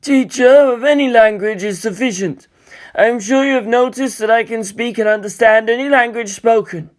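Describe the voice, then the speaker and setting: A man performs an elderly monk in a high-pitched voice. He is a serene, learned storybook teacher who lectures in formal phrases. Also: normal speed. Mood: serene, explanatory. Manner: formal, explanatory.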